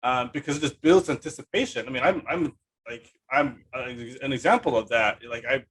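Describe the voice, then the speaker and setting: A man hosting a podcast in casual conversation, talking in a halting, rambling, self-deprecating way. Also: mid-range voice, a bit bright, a little hiss or hum, low-quality recording. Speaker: podcast host. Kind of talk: casual interview chat.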